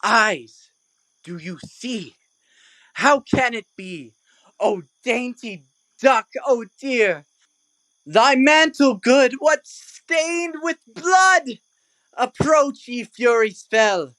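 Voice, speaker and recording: A woman's voice: a little high, lively intonation, extremely slow, fairly bright tone, no echo, exaggerated voice. A woman playing a hammy amateur player as a doomed, grandly lamenting lover in a Shakespearean audio play, declaiming melodramatically. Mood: anguished.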